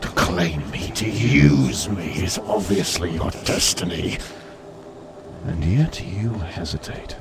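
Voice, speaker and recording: A man voicing an ancient, proud and coaxing entity of immense power in an audiobook, speaking in a deliberate, grandiose way. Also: sits low, background noise, pitched below the performer's own voice. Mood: coaxing, solemn. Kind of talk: audiobook character voice.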